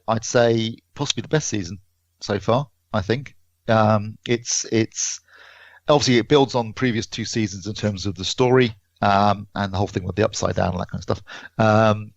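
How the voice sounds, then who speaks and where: A man reviews in a casual, conversational way. His voice sits low with a gravelly fry. The sound is dry and a little lo-fi. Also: no echo. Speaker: podcast reviewer.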